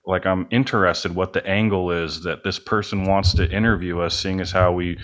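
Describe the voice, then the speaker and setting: Low-voiced man chatting casually. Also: fairly even intonation, moderate pace, a bit bright. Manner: rambling, hedging, self-effacing. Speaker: podcast guest, band musician and studio owner. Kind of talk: casual interview chat.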